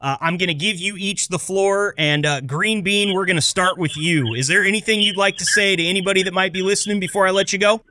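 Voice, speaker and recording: A man's voice: sits high, a little fast, hardly any pauses, bright, forceful tone, no room sound. An American man hosting a casual podcast interview in a chatty, informal and affirming way. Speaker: podcast host.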